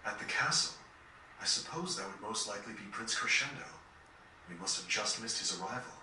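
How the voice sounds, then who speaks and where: Gentle, lowish gentleman, heavily echoed. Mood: calm, explaining. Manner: measured, formal, polite. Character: gentle, composed, courteous gentleman in a fantasy tale. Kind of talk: video game voice acting. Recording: echoey, distant game capture with noise.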